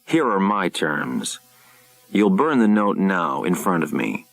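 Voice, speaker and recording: Man playing a blunt, stubborn low-voiced sea captain. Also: very animated, takes it slowly, no room sound, heavily acted. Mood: firm. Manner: blunt, forceful, swearing. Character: proud, stubborn, blunt seafaring captain and husband.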